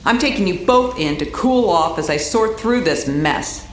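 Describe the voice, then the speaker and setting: An actress voicing a stern, unimpressed police commissioner, commanding and sardonic, in an animated drama. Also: female voice, fairly low pitch, normal speed, natural voice. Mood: smug authority. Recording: echoey room with faint noise.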